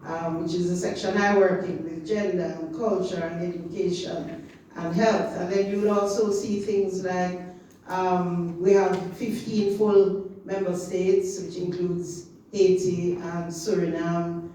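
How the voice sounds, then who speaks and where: A woman's voice: fairly low pitch, level tone, unhurried, mellow, a little hiss or hum. Jamaican woman, public speaker, impassioned, echoey hall, distant mic, faint ambience. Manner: impassioned, rhythmic emphasis, rising to chant-like slogans. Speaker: regional organization official speaker. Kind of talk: public talk at a community meeting.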